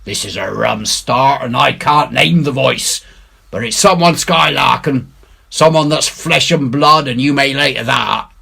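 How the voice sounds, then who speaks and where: A man playing a bold, shrewd pirate ringleader in a storybook reading, with salty, emphatic, drawn-out speech. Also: mid-range voice, gravelly, sharp tone, slightly rough, pitched above the performer's own voice, heavily acted. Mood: rallying.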